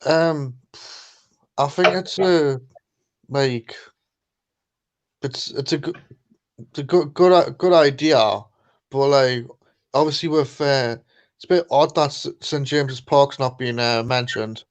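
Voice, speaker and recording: A man joining a casual chat, speaking haltingly with many fillers and restarts. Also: medium pitch. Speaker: guest on stream.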